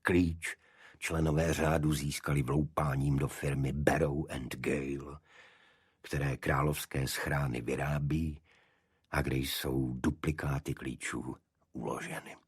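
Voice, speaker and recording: A man reading a detective story as an audiobook narrator, in a scripted literary style with a husky voice. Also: a bass voice, level tone, dry recording, studio quality.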